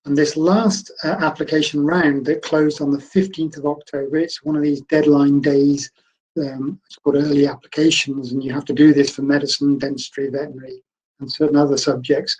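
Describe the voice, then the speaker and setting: An older man, a medical school professor, giving a conversational online lecture in a croaky voice, explaining things in an encouraging way. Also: a little high, flat delivery, brisk, darkish tone, rough recording.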